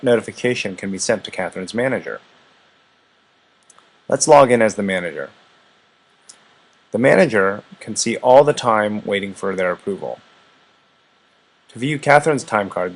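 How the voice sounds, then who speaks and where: A man narrating a scripted software product demonstration as its presenter. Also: fairly low pitch, slightly rough.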